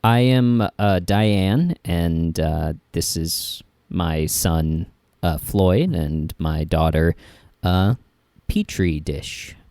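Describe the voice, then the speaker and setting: A man doing casual improvised comedy banter, talking in a halting, rambling way. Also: deep voice, lively intonation, a little mellow, dry recording, clean studio sound. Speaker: improv podcast performer.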